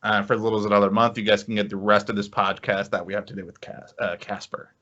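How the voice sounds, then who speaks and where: A man's voice: on the low side, little pitch movement, fast, bright, forceful tone. A man hosting a podcast, talking casually to a guest and to listeners. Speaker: podcast host. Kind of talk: casual podcast sign-off and plugs. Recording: dull lo-fi audio.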